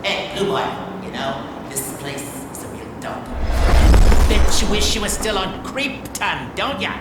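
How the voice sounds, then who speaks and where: Mischievous game imp, cartoonish, teasing, wildly swooping pitch, echoey, very noisy background. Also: male voice, lively intonation, unhurried. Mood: taunting. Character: mischievous male imp from another dimension, taunting, gleeful. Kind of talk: video game voice acting. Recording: echoey, very loud ambient noise.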